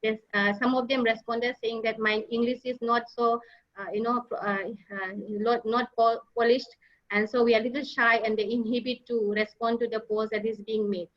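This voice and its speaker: A woman giving a slide presentation as a nurse leader, halting often with fillers and restarts. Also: on the high side, flat delivery. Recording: dull lo-fi online audio.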